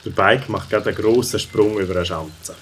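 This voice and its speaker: A man's voice: lowish voice, brisk, noisy background. A man with a Swiss German accent narrating a scripted children's audio story.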